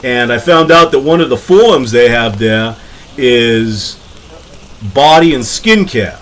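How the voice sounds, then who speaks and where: A man's voice: medium pitch, lively intonation, unhurried, fairly bright tone, a little hiss or hum, rough recording. Man doing a grumbling New York werewolf. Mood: grumbling storytelling. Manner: blunt, defensive, grumbling. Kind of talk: comic radio interview skit.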